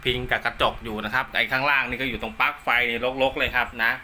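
Guy doing a casual radio hobby demo at home, really sharp bright voice, bit of noise behind. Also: mid-range voice, steady tone, quick pace. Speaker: amateur radio hobbyist presenting his own video. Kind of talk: casual hands-on demonstration. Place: indoors at home.

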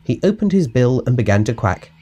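A man reading a children's audiobook as narrator, in an expressive, measured read-aloud style. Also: medium pitch, husky, dull audio. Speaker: storybook narrator.

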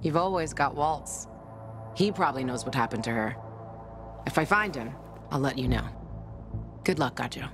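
An actress playing a tough, jaded survivor in a video game, blunt, sardonic and profane. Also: female voice, a bass voice, expressive, moderate pace, pauses often, over music. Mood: softened, resigned. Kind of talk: video game dramatic acting.

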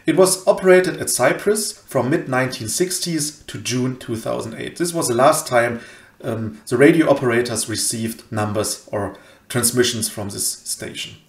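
A man's voice: mid-range voice, moderate pace. A man presenting an informal educational slide lecture as a voice-over, recorded indoors. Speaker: presenter of an educational cryptology channel. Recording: roomy, echoey home recording.

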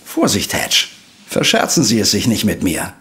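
Grumpy, animated police inspector. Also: male voice, fairly low pitch, normal speed, exaggerated voice. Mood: irritated. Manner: gruff, curt, irritated. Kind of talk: German audio drama acting.

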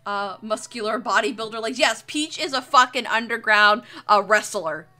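A woman's voice: fairly high pitch, normal speed, pushed and bright. A young woman streamer chatting casually while she draws, rambling and jokey with plenty of swearing. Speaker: streamer, artist. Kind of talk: casual livestream chat while drawing.

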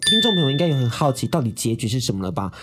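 A man's voice: mid-range voice, big pitch swings, rapid-fire, heavy background noise. A man with a Taiwanese Mandarin accent, hosting a casual interview about a book. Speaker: radio show host.

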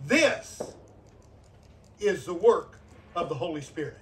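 A man's voice: fairly high pitch, lively intonation, takes it slowly, distant mic, a little hiss or hum. An older man, a guest preacher in his sixties, delivering an earnest sermon and repeating phrases for emphasis. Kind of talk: sermon with altar call.

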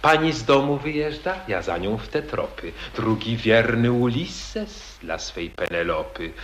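A man playing a smooth-talking young nobleman suitor. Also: medium pitch, sing-song, a little slow, fairly bright tone, slight background noise. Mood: wooing. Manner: theatrical, wheedling, declamatory. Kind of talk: 1940s radio acting in verse. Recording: echoey old radio archive.

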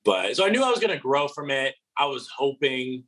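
A man's voice: medium pitch, sing-song, a little fast, fairly bright tone. A man in his twenties, a reality show contestant, answering interview questions over a video call, earnest with many restarts and fillers. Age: 20s. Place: apartment living room.

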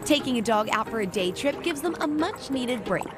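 High-pitched upbeat woman TV reporter. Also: expressive, normal speed. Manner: polished, upbeat broadcast delivery. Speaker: TV reporter and presenter. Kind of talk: scripted feature narration. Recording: music bed behind voice.

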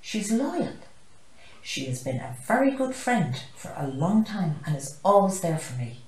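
A woman's voice: medium pitch, big pitch swings, measured pace, a little mellow, distant mic, voice pushed up. A woman voicing a proud, loyal zebra pupil, sincere and admiring, in a storybook. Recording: roomy, echoey living room.

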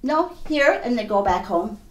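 An older woman from Hawaii telling her life story in an interview, in a halting, plainspoken way with many "you know"s. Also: on the high side, very animated, roomy sound, slight background noise. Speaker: interview guest. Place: small indoor studio, seated before a backdrop.

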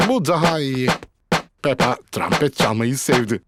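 A man narrating a children's cartoon as a storyteller, in simple, expressive scripted sentences. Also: mid-range voice, a little slow, loud music behind the voice.

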